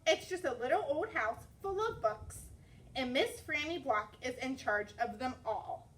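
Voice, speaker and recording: High, animated woman reading a children's story. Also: far from the mic, rough recording. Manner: expressive, deliberate. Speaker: first grade teacher reading aloud.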